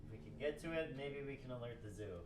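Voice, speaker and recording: A man's voice: medium pitch, fast, voice pushed down, lightly acted. Man playing exasperated scientist, distant and roomy. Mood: exasperated, resolute. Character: exasperated, skeptical, foul-mouthed male robotics scientist. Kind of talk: amateur radio play table read. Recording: roomy, distant mic with clear noise.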